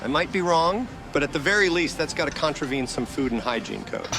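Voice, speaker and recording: Over prominent music comes a man acting a motion-comic ghost hunter, a sardonic schemer. His voice sits a little high for a man. He drops dry wisecracks in a laid-back way. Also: big pitch swings, brisk. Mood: deadpan scheming. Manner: dry, sarcastic. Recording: loud music bed under voice.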